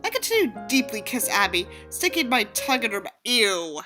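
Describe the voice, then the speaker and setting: Sarcastic woman reading a book out loud, high bright voice, exasperated and sweary, bit of music behind. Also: big pitch swings, moderate pace. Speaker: audiobook reader and commentator. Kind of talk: sardonic commentary while reading a novel aloud.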